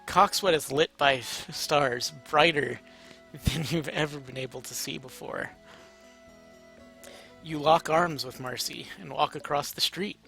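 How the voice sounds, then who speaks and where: A man's voice: on the high side, unhurried, vocal fry, bright, forceful tone, soft background music, rough recording. A man narrating a story aloud in a casual, amateur, playful way. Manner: informal, playful.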